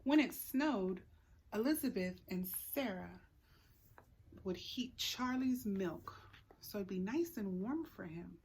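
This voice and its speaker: A woman teacher reading a picture book aloud to young children, expressively, pausing to put questions to the listeners. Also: mid-range voice, expressive, darkish tone, husky. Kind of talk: read-aloud story time for young children.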